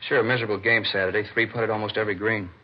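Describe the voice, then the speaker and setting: A man's voice: lowish voice, fairly even intonation, very fast, natural voice. An American man playing a casual, grumbling man-about-town suspect in a 1950s radio drama, talking in breezy, clipped phrases. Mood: griping. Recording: muffled vintage radio recording.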